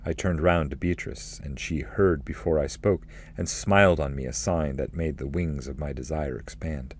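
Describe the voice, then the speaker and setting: Low-pitched guy narrating, reads classic poetry, measured and formal. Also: slight background noise. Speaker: narrator, reader. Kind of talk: scripted literary narration and poetry reading.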